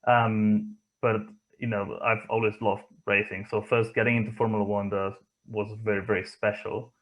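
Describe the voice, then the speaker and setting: A man from Spain with a Spanish accent, giving reflective interview answers in a thoughtful, hesitant way with frequent pauses. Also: lowish voice, little pitch movement, a bit bright, low-quality recording. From Barcelona area, Spain. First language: not English, from Spain. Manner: hesitant, thoughtful, pausing often. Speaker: interview guest, motorsport engineer.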